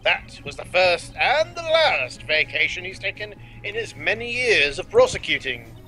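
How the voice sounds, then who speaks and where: A man's voice: sits high, big pitch swings, normal speed, bright, forceful tone, soft background music, pitched above the performer's own voice, exaggerated voice, poor audio. A man doing a pompous old lawyer. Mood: musing. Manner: formal, old-fashioned, slightly pompous. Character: pompous older male lawyer, fussy.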